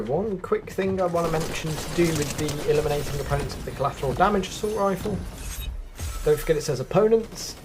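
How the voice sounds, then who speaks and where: A man giving a casual, chatty gameplay walkthrough, explaining things directly to viewers as a video host. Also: mid-range voice.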